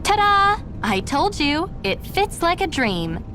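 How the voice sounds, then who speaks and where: A woman's voice: very high-pitched, sing-song, slow, noisy background, heavily acted. An actress playing a cheery young resistance woman in a video game, teasing and coaxing in a friendly way. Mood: playful.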